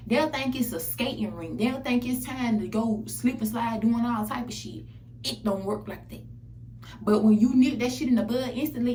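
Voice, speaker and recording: A woman with a fairly high voice speaks in a very echoey room. She delivers blunt, profane pep talk in a casual, emphatic way, often repeating a phrase to drive it home. A faint noise sits behind her. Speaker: self-help vlogger. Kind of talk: casual motivational monologue. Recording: echoey, distant mic, background noise.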